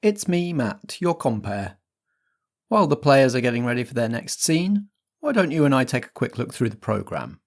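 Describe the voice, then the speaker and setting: A male compere speaks straight to the audience with chatty programme announcements. His voice has a medium pitch. He presents in an informal, explanatory way. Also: very animated, normal speed. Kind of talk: chatty scripted announcements to listeners.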